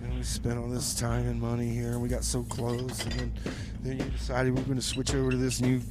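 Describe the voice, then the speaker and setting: A man's voice: medium pitch, monotone, brisk. A man hosting community radio, moving between music announcements and comedy sketch dialogue. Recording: clear noise behind the voice. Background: background noise.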